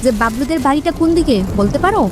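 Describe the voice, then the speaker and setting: A woman voicing a brisk, scolding village mother in a folk-tale cartoon, bossy and calling out. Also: high-pitched, expressive. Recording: noisy rainy ambience behind voice.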